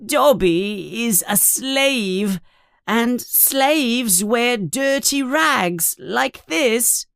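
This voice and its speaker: A woman voicing a small, timid, tearful male house elf who talks in a squeaky, pleading, servile way. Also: expressive, extremely slow, vocal fry, fairly bright tone, smooth, no echo, clean studio sound, exaggerated voice.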